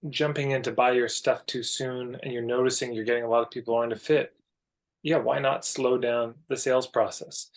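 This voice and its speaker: A Canadian man with a mid-pitched voice speaks as a guest on a podcast. He explains his thinking conversationally and emphatically, often slipping into imagined dialogue to illustrate a point. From Alberta, Canada. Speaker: podcast guest, marketing coach.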